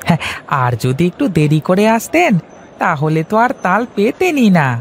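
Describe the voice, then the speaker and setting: A man plays a village fruit seller in a cartoon tale. He is chatty and ingratiating. His voice is high for a man and rises and falls in a lively way. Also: moderate pace, gravelly, slight background noise, heavily acted. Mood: welcoming, persuasive. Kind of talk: cartoon fairy-tale dialogue.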